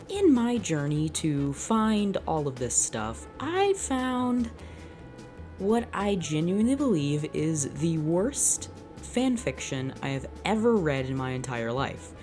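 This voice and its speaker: A woman's voice: sits low, warm tone. A woman hosting her channel, reading fanfiction aloud unscripted and reacting as she goes, in a sardonic, dramatic way.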